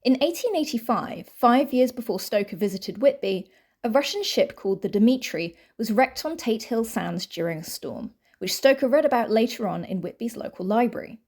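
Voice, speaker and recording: A woman's voice: on the high side, lively intonation, moderate pace, a little mellow. A woman reading a scripted documentary voice-over as narrator.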